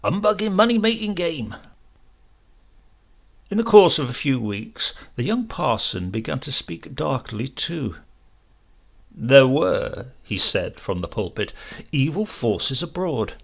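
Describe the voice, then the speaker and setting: Gravelly, lively male storyteller. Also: mid-range voice, measured pace. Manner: lively, wry, expressive. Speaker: reader, narrator. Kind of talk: storytelling audiobook narration.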